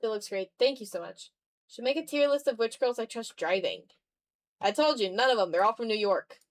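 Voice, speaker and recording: A woman's voice: a little high, a little fast. A woman livestreamer chatting casually while drawing, thinking aloud in fragments with a self-deprecating manner. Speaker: art livestreamer.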